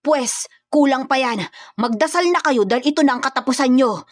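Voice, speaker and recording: Arrogant corrupt mayor, voiced by a woman, menacing, emphatic, threatening, raised pitch, storybook villain, close dry sound. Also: moderate pace, no room sound.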